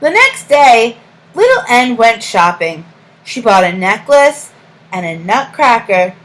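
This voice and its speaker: A woman reading a storybook aloud as a teacher to young children, in a deliberate sing-song way, pausing to ask questions and give praise. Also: on the high side, big pitch swings, fairly bright tone, poor audio.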